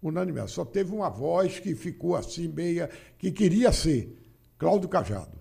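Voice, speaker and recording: A man's voice: a little high, very animated, moderate pace, gravelly, dark tone, slightly rough. A man in his seventies, a politician guest in a studio podcast interview, telling anecdotes and acting out the dialogue in them, often repeating his phrases. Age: 70s. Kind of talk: casual interview storytelling.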